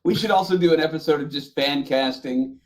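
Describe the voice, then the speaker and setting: A man with a slightly high voice talks casually as a podcast co-host. He tells stories in a joking, loose way and swears freely. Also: brisk. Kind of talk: casual group chat about movies and games.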